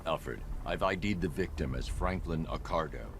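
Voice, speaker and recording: An actor playing a grim, driven vigilante detective in a video game, gravelly, clipped and grave. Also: male voice, very deep voice, normal speed. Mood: grave, focused. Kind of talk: dramatic video game acting. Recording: voice under clear background music.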